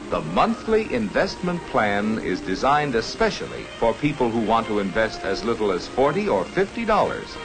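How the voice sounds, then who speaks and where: An earnest American stockbroker from a 1950s educational cartoon. He explains in an instructive, reassuring sales tone with very animated intonation, over clearly audible music on an old film soundtrack. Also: medium pitch, rough recording.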